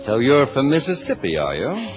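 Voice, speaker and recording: A man's voice: mid-range voice, wildly swooping pitch, normal speed. A man playing a fussy, pompous, old-fashioned middle-aged father with a dry, clipped delivery in a 1950s radio comedy. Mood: flattered, flustered. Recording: dull old radio recording, music, audience.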